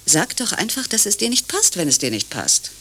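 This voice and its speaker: Distrustful, sharp-voiced, animated woman. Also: mid-range voice, normal speed, husky, exaggerated voice. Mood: suspicious, needling. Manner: insistent, probing. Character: distrustful, needling visitor pressing for honesty. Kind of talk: radio play dialogue.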